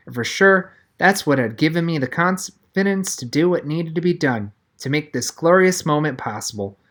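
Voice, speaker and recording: A woman narrates a children's novel with very lively, swinging intonation. She reads scripted prose expressively, as a storyteller would. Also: sits low, moderate pace. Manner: animated, storytelling, acts out dialogue. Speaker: audiobook-style reader.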